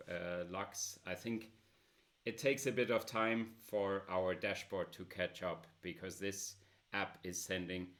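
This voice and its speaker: A man with a loud voice presenting a smartphone app in a casual demo, with many filler pauses. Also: sits low, fairly even intonation.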